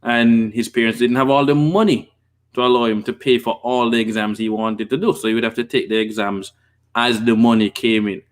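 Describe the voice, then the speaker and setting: Jamaican man hosting a lively livestream. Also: medium pitch, fairly quick. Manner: animated storytelling with hand gestures. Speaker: livestream host. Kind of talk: casual livestream commentary reading viewer comments. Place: home office, indoors. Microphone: desk microphone with pop filter.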